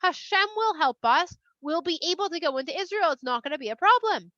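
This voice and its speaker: A woman's voice: very high-pitched, animated, brisk, sharp tone, no room sound, muffled recording, full character voice. A woman voicing a brave male scout in a children's story, urgently reassuring and rallying a scared crowd. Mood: calming. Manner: urgent, emphatic, encouraging.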